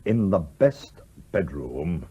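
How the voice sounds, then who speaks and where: Gruff, husky ghostly sea captain. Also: male voice, sits low, very animated, slow, dark tone, lo-fi sound, full character voice. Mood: indignant. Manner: commanding, exasperated, old-fashioned nautical oaths. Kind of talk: radio play acting.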